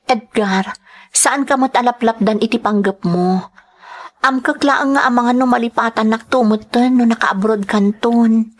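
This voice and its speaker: A woman's voice: a little high, unhurried, voice pushed up. A woman with an Ilocano accent playing a worried, pleading young sweetheart in a radio drama.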